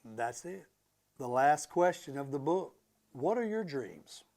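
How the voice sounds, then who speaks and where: A man reads a children's book aloud in a slightly high, animated voice. His delivery is expressive and emphatic, and he steps out of the story to chat casually with the children listening. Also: slow. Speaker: school transportation director reading aloud to students.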